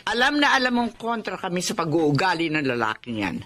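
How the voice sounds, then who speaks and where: An exasperated middle-aged Filipino mother in a radio drama. Her pitch swings widely as she nags and reproaches, protective and emphatic. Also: very animated, a little fast, fairly bright tone. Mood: scolding, exasperated.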